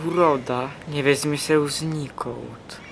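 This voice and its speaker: Young guy playing vain haughty man in a radio play, slow talking, a bit high. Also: fairly even intonation, gravelly, a little hiss or hum. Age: young adult. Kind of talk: amateur radio play acting.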